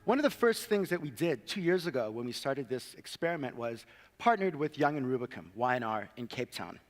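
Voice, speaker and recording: A man's voice: medium pitch, sing-song, brisk. American-accented man presenting in a hall. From South Africa. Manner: light self-deprecating humor. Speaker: conference speaker. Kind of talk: presentation talk. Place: large hall.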